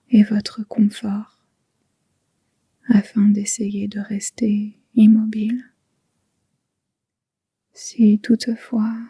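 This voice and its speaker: A woman leads a guided relaxation in a very breathy, whispery voice. Her delivery is slow and gentle, with long pauses between phrases, and stays on an even, level tone. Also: medium pitch, dry recording. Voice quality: soft, breathy, near-whispered. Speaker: yoga nidra guide.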